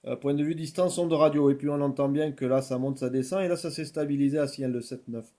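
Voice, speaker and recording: A man's voice: mid-range voice, fairly even intonation, fast, warm tone. A man working as an amateur radio operator, chatting casually and repeating himself with frequent tag words. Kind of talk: casual radio contact chat.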